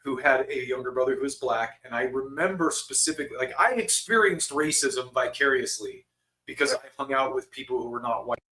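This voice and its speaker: A man from Utah, a podcast co-host, talking in a casual, profane and animated way. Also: medium pitch, a little fast, reverberant, rough recording. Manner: animated, profane, swings into mocking quoted voices. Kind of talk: casual conversation.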